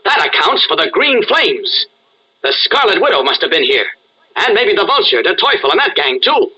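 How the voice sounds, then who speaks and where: A man plays an earnest reporter in an old radio adventure. His voice is fairly high and husky, swinging widely as he insists and pleads, on a dull recording. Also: big pitch swings, moderate pace, sharp tone, exaggerated voice. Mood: urgent. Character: earnest, urgent male newspaper reporter with secret identity. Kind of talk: old radio serial acting. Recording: dull, band-limited radio-style recording.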